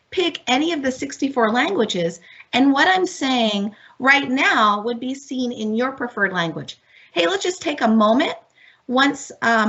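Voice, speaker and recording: A woman's voice: on the high side, a bit bright. A woman from Miami whose first language is Spanish, giving an upbeat, encouraging webinar presentation to teachers over a video call. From Miami, United States. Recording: dull lo-fi webinar audio.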